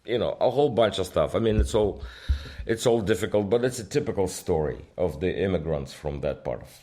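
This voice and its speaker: A man in his fifties from Ukraine with a Ukrainian accent, speaking as a guest comedian in a casual podcast interview, emphatic and halting in his phrasing. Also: deep voice, animated, warm tone. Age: 50s.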